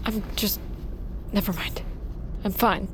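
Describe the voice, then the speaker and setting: A woman's voice: mid-range voice, fairly even intonation, takes it slowly, background noise, close to an everyday voice. A woman playing a frightened, defensive teenage girl in an audio drama, terse and guarded. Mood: subdued, evasive. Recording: music behind the voice.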